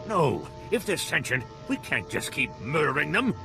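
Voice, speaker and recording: An idealistic alien scientist from a video game, passionate and quick to argue. The voice has a creaky grain and a very lively pitch. He speaks emphatically over clear background music. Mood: earnest, insistent.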